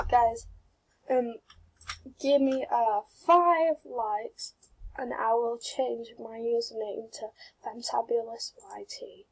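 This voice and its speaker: A woman giving quiet, awkward gameplay commentary on her channel, mumbling and giggling as she plays. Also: on the high side, breathy edge, rough recording. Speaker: gaming channel host.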